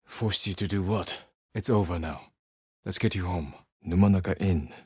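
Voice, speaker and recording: A stern, honorable young samurai warrior from a dramatic video game. His voice is deep and husky, close and dry. He speaks in terse, measured lines with restrained, weary resolve. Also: a little mellow, dry recording. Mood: serious, concerned. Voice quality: low, husky voice.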